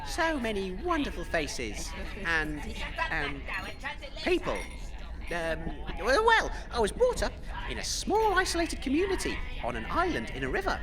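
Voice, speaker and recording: A man's voice: very high-pitched, huge pitch swings, a little slow, heavily acted. A man playing a pompous, easily flustered British wasteland radio DJ in a comedy audio drama. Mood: boastful. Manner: pompous, hesitant when challenged. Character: self-important, flustered wasteland radio DJ telling boastful anecdotes.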